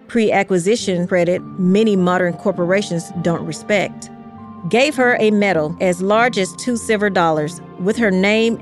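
A woman reading scripted history narration in a measured, carefully articulated storytelling way. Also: medium pitch. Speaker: podcast narrator.

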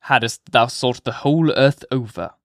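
A man's voice: mid-range voice, unhurried, no echo, studio quality, natural voice. A man playing a sinister old man. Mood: dark.

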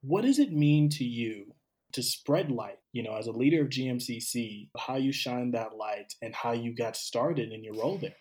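A man's voice: medium pitch, moderate pace, a little mellow. Reflective man hosting a conversation. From Cameroon. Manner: thoughtful, repeats words as he starts sentences. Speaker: podcast host. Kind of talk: casual conversational interview.

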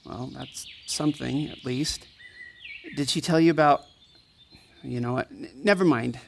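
An actress playing a worried, protective old friend in an audio drama, speaking earnestly and insistently. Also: female voice, extremely low pitch, a little slow, creaky, slight background noise, full character voice. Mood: worried, defeated. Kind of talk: fiction podcast drama acting.

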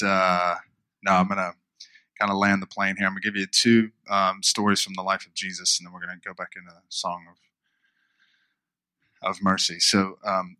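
Male pastor, deep, bright and forceful, dry close sound, casual sermon, repeats phrases. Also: dry recording, low-quality recording. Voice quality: smooth radio voice. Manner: conversational, repeats phrases for emphasis.

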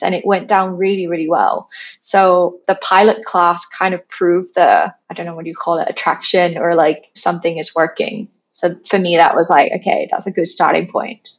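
A woman's voice: on the low side, normal speed. A woman from Thailand in her thirties, talking casually as an interview guest and filling her story with "like". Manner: chatty, frequent "like", animated retelling. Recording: slightly dull lo-fi sound.

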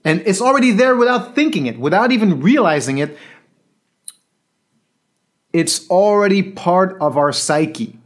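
A man who works as a coach, telling a personal story in an earnest, reflective monologue that sometimes drops quiet. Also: high-pitched, animated, a little slow, long pauses. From Belgium.

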